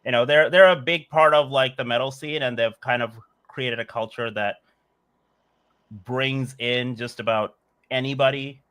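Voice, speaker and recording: A man in his forties from Bangladesh, speaking at a medium pitch for a man. He answers casual interview questions thoughtfully. He meanders, leaning on "like" and "uh". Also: steady tone, moderate pace, fairly bright tone, clear, resonant tone. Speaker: musician guest.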